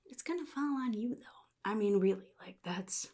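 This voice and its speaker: A woman voicing a chaotic, jittery male clown supervillain in soft-spoken ASMR roleplay, half-whispering and rambling in halting, sly phrases. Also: mid-range voice, animated. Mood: rambling, teasing. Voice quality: breathy, gravelly, half-whispered. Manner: halting, rambling, sly, addresses listener as kid.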